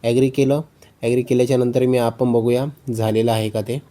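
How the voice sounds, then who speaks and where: Mellow-voiced man giving instructions. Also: mid-range voice, flat delivery, fairly quick. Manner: instructional, repeats steps and check phrases. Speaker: tutorial host. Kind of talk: phone tutorial narration.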